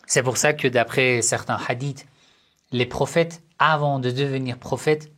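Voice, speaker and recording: A man giving a religious lesson on family life, speaking in short, measured phrases and asking rhetorical questions that he then answers himself. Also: mid-range voice, fairly even intonation, fairly bright tone. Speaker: religious teacher.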